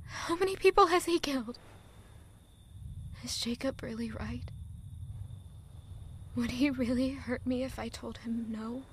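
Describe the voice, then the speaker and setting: A woman's voice: a little high, slight background noise, lightly acted. A woman playing an anguished, weary young village girl in a dramatic, emotional audio drama monologue. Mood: tearful, desperate, then whispering, doubtful. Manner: emotional, slow, deliberate delivery with rhetorical questions.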